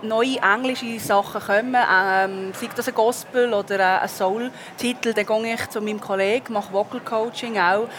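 A woman's voice: fairly high pitch, steady tone, moderate pace, few pauses, fairly bright tone, a little hiss or hum. A woman in her thirties with a Swiss German accent, a singer answering interview questions in a chatty way with many fillers. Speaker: guest singer.

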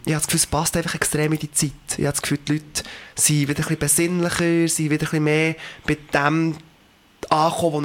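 A man's voice: fairly high pitch, a bit bright. A man in his late twenties with a Swiss German accent, a singer answering interview questions in a reflective, earnest way with frequent fillers. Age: 20s.

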